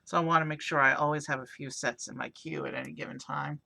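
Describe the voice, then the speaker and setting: Man, hoarse, raspy, bright tone, gravelly, loose rambling stream chat. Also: on the high side, fast talker. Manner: relaxed, rambling, many fillers. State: hoarse from overuse. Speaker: streamer building a toy car set. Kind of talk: casual live-stream commentary.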